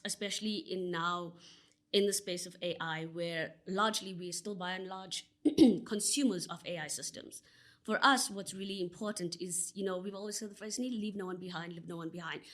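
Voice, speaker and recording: A South African woman answering as a podcast guest, earnest and expansive, with frequent "you know" fillers. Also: on the low side. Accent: South African English. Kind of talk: conversational interview answer.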